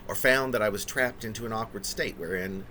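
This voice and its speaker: A man in his fifties, a poet talking casually and reading his own work in a halting, pausing way. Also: fairly low pitch. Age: 50s. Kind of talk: casual spoken preamble and poetry reading. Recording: noticeable background room noise.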